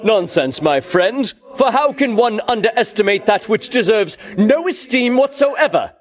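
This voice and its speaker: Casting: a boastful ancient Greek champion king in a game, high ringing voice, theatrical pomp, wildly swooping intonation. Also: very animated, measured pace. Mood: scornful, grandiose. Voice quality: ringing, declamatory. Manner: pompous, archaic grandiose diction. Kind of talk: theatrical video game voice acting.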